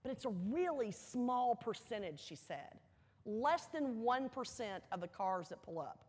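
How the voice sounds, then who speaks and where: A woman giving a motivational speech, telling a personal story deliberately, with dramatic pauses and emphatic repetition. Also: fairly high pitch, sing-song. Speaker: keynote speaker. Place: large hall, speaking to an audience. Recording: echoey hall, long reverb.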